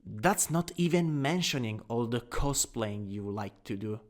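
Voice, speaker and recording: Slow, coaxing Italian-accented man acting a male teacher, reverberant. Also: mid-range voice, expressive, natural voice. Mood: coaxing, tender. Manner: coaxing, doting, instructive, unhurried. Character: gently dominant male anatomy teacher, doting on a catgirl-like pet companion. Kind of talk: ASMR roleplay, scripted. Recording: long reverberant hall echo.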